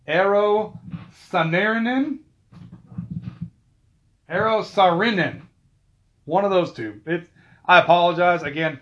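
Male host, chatty trivia commentary, a bit high-pitched, dull lo-fi recording. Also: a bit bright. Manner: chatty, with asides and self-corrections. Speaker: video host. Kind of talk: casual film trivia commentary.

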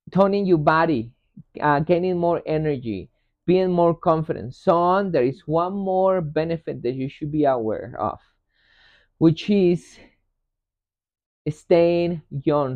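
High-voiced man in an echoey room. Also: a little mellow. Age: 40s. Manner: emphatic, straightforward. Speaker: health coach, host. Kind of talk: motivational talk with personal anecdotes. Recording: boxy echoey room, dull lo-fi sound.

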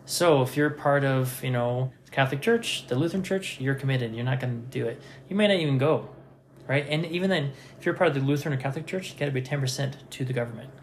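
Man in his thirties, podcast host, relaxed storyteller, mid-pitched voice, faint room hiss. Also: quick pace. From Nevada, USA. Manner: relaxed, anecdotal, self-deprecating. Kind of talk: casual conversation, storytelling.

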